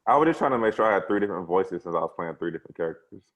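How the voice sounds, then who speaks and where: A man's voice: low voice, animated, racing, a bit bright. A man chatting casually as one of the actors at a table read. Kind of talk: casual chat.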